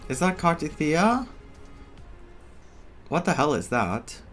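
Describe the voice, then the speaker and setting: A man giving casual, musing playthrough commentary as a game streamer, with halting reactions. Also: fairly high pitch, very animated, fairly bright tone. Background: game music.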